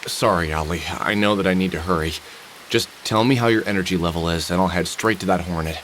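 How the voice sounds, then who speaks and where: A man's voice: low voice, fast, fairly bright tone, slight background noise. A man playing a weary, skeptical middle-aged protagonist nursing heartbreak in a visual novel game, speaking in a hesitant, conversational way. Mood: subdued.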